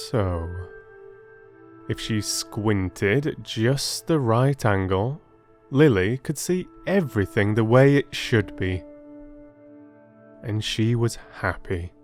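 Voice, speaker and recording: A man narrating a children's story expressively as a storyteller, in a measured way with dramatic pauses. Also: mid-range voice, a little mellow.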